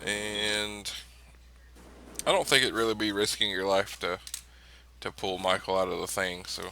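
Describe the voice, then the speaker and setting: Bright, low-pitched man in game chat. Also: fairly even intonation, measured pace, gravelly, a little hiss or hum. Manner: laughs often, easygoing. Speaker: player.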